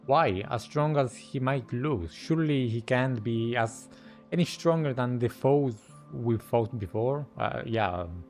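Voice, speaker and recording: A man's voice: medium pitch, expressive, measured pace, a little mellow, soft background music. A man streaming a game, giving casual, off-the-cuff reaction commentary.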